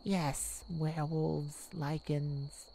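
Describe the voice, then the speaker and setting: A woman playing a tenderhearted warrior mage in a soft ASMR romantic roleplay, speaking in a hushed, soothing, halting way. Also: sits low, little pitch movement, vocal fry, slight background noise, full character voice, rough recording. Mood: uneasy, thoughtful. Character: tenderhearted young warrior mage comforting a werewolf lover. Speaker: audio roleplay performer.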